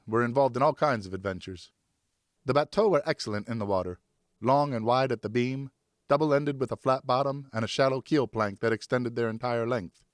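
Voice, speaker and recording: A man narrating a scripted literary story as a professional audiobook reader, expressive and carefully phrased. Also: medium pitch, no echo, studio quality.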